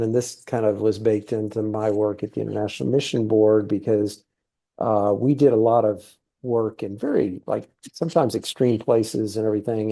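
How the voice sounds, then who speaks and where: A man's voice: on the low side, vocal fry, mellow. A middle-aged man who grew up in Thailand, talking casually in an interview with lots of fillers. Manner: easygoing, rambling with many fillers. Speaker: guest, TV series producer.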